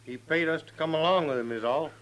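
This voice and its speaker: A man's voice: fairly high pitch, fast, fairly bright tone, close to an everyday voice, poor audio. Surly western outlaw played by a man. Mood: defensive. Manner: surly, folksy. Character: defiant, self-excusing hired western outlaw. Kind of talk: 1950s western radio drama acting.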